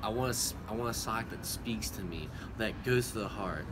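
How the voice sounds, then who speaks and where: A young man vlogging on a road trip, joking and rambling excitably to a phone held at arm's length. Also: on the low side, brisk. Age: young adult. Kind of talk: casual vlog chat. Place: road trip, car, mall and parking lot. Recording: loud background noise.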